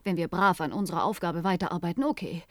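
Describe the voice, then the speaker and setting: An actress playing a skeptical, anxious, sharp-tongued scientist in a science fiction radio play, delivered dramatically and emphatically. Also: female voice, on the low side, fast, no room sound, clean studio sound, natural voice. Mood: fearful, bitter.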